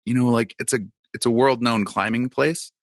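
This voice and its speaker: A man's voice: medium pitch, steady tone, moderate pace, no room sound, clean studio sound. A man co-hosting a podcast in casual chat, rambling with lots of fillers and restarts. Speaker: podcast co-host.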